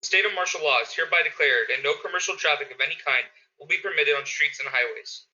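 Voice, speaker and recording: Man acting as urgent radio newsman, formal and clipped, very sharp forceful tone, thin phone-like sound, radio drama. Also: on the high side, fast talker. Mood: urgent, grave. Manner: formal, clipped, repeating key orders. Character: urgent male radio announcer reading an emergency bulletin. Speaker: radio news announcer. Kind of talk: urgent emergency bulletin.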